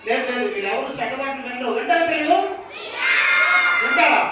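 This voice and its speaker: A man's voice: very high voice, expressive, fast. Male ventriloquist in a very echoey hall. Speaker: ventriloquist, straight man to puppet. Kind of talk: live children's puppet show dialogue. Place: large church hall with children's audience. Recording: echoey hall, distant mic, noisy crowd.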